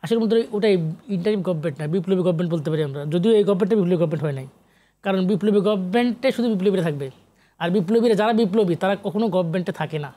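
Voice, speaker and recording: A man's voice: high voice, rapid-fire, mellow. A middle-aged man with a Bangladeshi accent, an academic guest on a talk show, explaining at length and emphatically, repeating phrases and dropping in English terms. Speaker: guest, academic sociologist and literary researcher.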